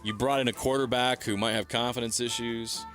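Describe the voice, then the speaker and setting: A man's voice: mid-range voice, steady tone, fairly quick, a bit bright, soft background music. An American man co-hosting a casual sports talk show, bantering with dry, teasing remarks. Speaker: sports radio co-host. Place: radio studio.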